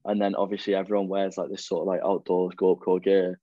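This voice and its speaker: A man's voice: low voice, flat delivery, fairly quick, warm tone, no echo. A young man, chatting as a podcast guest and rambling through plenty of fillers and restarts. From the Wirral, England. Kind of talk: casual chat. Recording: dull lo-fi, dry.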